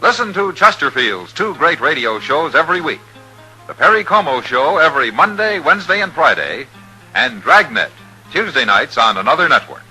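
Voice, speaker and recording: A man's voice: a little high, big pitch swings, moderate pace, bright, forceful tone, rough recording. An American man announcing in a scripted 1950s network radio style. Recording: vintage 1950s radio recording. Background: music.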